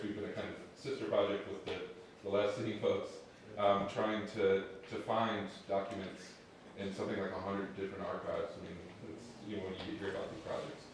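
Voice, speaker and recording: Echoey, low-voiced man on a panel. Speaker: digital history project member and panelist. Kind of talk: informal panel answer to an audience question. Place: large echoey room at a public event. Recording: echoey hall, distant mic, faint noise.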